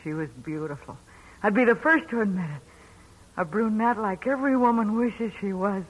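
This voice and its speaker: A woman's voice: mid-range voice, normal speed, lightly acted, rough recording. An actress playing a bitter, jealous gangster's ex-girlfriend in a 1940s radio drama, brassy and emotional.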